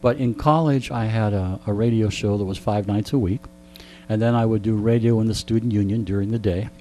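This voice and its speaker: A man in his sixties in a radio interview, chatting like an animated storyteller and turning aside to address listeners. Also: on the low side, fairly quick. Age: 60s. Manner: frequent throat clearing. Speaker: radio show host being interviewed. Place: radio studio. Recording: clear background hum and noise.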